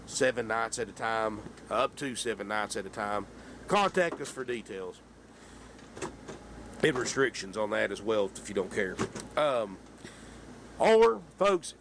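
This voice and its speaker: A man, hoarse from a sinus infection, giving a folksy sales pitch for a camper van and calling his viewers folks. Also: slight background noise. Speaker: RV dealer. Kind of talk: sales pitch walkthrough video.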